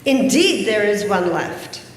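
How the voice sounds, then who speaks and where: A woman's voice: mid-range voice, very animated, slow. A woman playing a wry, generous village witch who gardens, in an amateur readers theater. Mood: coy, teasing. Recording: echoey hall, noticeable room noise.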